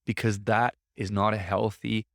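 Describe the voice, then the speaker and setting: Low-voiced man in his thirties, dry sound. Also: little pitch movement, normal speed, vocal fry, no room sound. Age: 30s. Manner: conversational, reflective, self-coaching tone. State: slightly congested, throat a bit off. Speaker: podcast host. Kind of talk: solo podcast monologue. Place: office.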